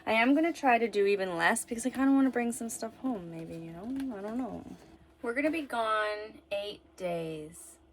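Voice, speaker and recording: A woman's voice: a little high, lively intonation, normal speed. A young woman talking casually as a mom vlogger, in an informal, rambling way with lots of "like" and "you know". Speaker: vlogger and mother of young children. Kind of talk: casual chatty vlog talk.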